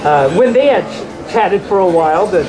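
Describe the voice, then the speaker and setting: A storyteller reading a bedtime tale to a live audience informally, haltingly, often repeating and correcting phrases. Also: medium pitch, animated, low-quality recording. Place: large indoor hotel room at a convention. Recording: loud crowd in a large public room.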